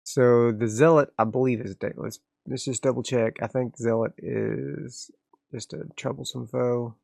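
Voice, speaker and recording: Gravelly man narrating a solo game. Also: mid-range voice, steady tone, measured pace, dark tone, no room sound, studio quality. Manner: casual, narrates moves and reads rules aloud. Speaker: host and solo player of a tabletop role-playing game. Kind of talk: solo tabletop actual-play commentary, thinking aloud.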